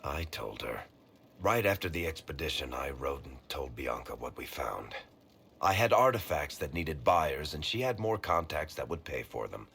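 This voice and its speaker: A roguish middle-aged game companion with an extremely low, gravelly voice. The tone is sardonic and conversational, with a forceful edge and some faint noise behind it. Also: male voice, very animated, moderate pace, heavily acted. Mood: matter-of-fact. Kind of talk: video game voice acting.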